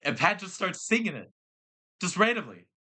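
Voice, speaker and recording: A young man with a Southern accent who works in fast food, telling a work story in casual chat. He swears often, laughs a lot and acts out the people he quotes. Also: high voice, very animated, bright, forceful tone, husky. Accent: American Southern. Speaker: podcast co-host.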